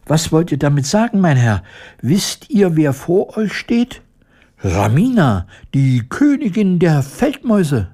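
A man voicing a haughty little mouse queen in a squeaky, regal voice for a storybook. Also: big pitch swings, slow, mellow, heavily acted. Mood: indignant. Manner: regal, imperious. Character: haughty little female mouse queen, easily indignant and alarmed.

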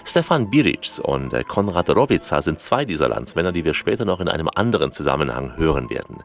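A male documentary interviewer with a very low voice and lively, varied intonation. He narrates and asks clear, measured questions. Faint music plays softly beneath him.

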